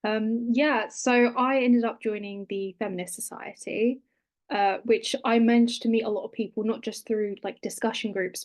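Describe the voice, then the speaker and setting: A woman's voice: medium pitch, darkish tone. A young woman, a student ambassador, casually giving advice on a webinar panel. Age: young adult.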